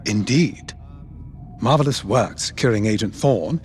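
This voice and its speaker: A man's voice: on the low side, measured pace, a little hiss or hum, natural voice. A man voicing a polite, eager droid intelligence analyst, fussy, precise and formal, in a sci-fi game. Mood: polite eagerness. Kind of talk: cinematic sci-fi game acting. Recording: music behind the voice.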